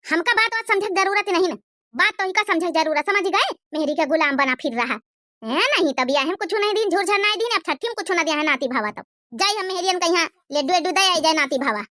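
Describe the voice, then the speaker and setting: Woman as a shrill, bossy Awadhi mother-in-law. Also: expressive, a little fast, pushed and bright, no echo, studio quality, exaggerated voice. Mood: scolding. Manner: bossy, nagging. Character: demanding, scolding mother-in-law, bossy village matriarch. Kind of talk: cartoon comedy dialogue.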